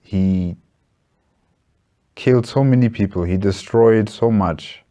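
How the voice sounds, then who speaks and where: A man's voice: fairly low pitch, slow pace, dark tone. A man hosting a reaction video, giving casual commentary and thinking aloud in a hesitant, reflective way. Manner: hesitant, reflective, repeating words.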